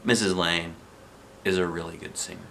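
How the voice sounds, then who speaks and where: A man's voice: low-pitched, steady tone, normal speed, vocal fry, fairly bright tone, a little hiss or hum. A man reading a children's book aloud as a dad would, expressively acting out the story.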